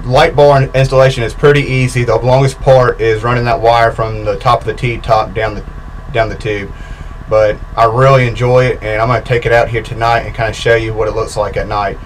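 A man's voice: mid-range voice, a little fast, slight background noise, rough recording. Easygoing man explaining a boat job. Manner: relaxed, explaining step by step with fillers. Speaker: boat DIY vlogger. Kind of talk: casual how-to walkthrough. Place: outdoors on a boat in a backyard.

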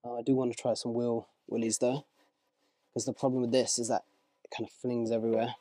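A man of medium pitch talks through his car wash as he works outdoors, relaxed and a little rambling, often stopping to correct himself. The sound is dry and plain, and his voice drifts nearer and farther from the camera. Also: brisk, no echo. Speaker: car rental host. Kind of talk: casual vlog narration while working.

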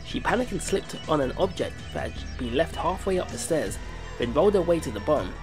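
Lively man reading game narration. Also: on the high side, brisk. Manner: reads with small stumbles and restarts. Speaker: let's play narrator. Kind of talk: reading game text aloud. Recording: game music playing behind.

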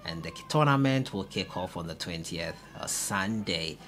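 A young man presenting football news to camera, in a steady reporting manner with frequent "uh" fillers. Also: low voice, normal speed, slight background noise. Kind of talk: solo news vlog to camera. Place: indoor room before a stone-pattern wall.

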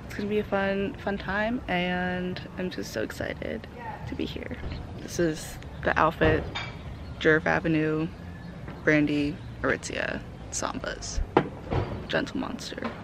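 A woman in her twenties from the United States, chatting brightly in a casual travel vlog with a slightly raspy voice, filming at arm's length outdoors. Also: lowish voice, slow pace. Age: 20s. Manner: bubbly, chatty. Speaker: vlogger. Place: outdoors on a city balcony and streets. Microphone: handheld camera at arm's length. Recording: noisy street ambience.

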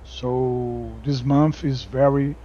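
A Brazilian man with a Brazilian Portuguese accent, chatting casually over gameplay, halting, with many fillers and restarts. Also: mid-range voice, flat delivery, warm tone, dull audio, a little hiss or hum. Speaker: gaming YouTuber. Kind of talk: casual game commentary.

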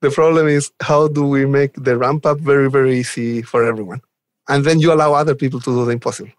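A man's voice: medium pitch, steady tone, quick pace, dry recording. Enthusiastic man with a Chilean accent, close dry sound. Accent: Chilean Spanish. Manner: enthusiastic, chuckles while talking. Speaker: guest, software developer advocate. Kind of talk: casual podcast interview chat.

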